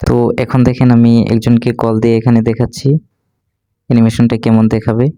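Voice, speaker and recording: Male tutorial host, very even intonation, soft dark tone, instructional step-by-step delivery. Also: mid-range voice, a little fast, no room sound. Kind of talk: step-by-step screen tutorial narration.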